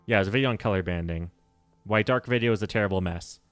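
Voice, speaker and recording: A man with a low voice talks loosely through a game livestream. He rambles, stops to correct himself, and laughs easily. Soft music plays faintly behind him. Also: fast talker, no echo. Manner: rambling, self-correcting, nerdy, laughs often. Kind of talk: casual livestream chat while playing.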